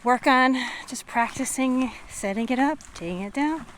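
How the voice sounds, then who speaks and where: A woman chatting casually to viewers in an unscripted, musing way with trailing pauses, as a hiking vlogger recording outdoors. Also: high-pitched, fairly bright tone. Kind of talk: casual vlog chat to viewers. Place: outdoors, on a walk and at a tent setup. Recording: noisy outdoor camera recording with natural ambience.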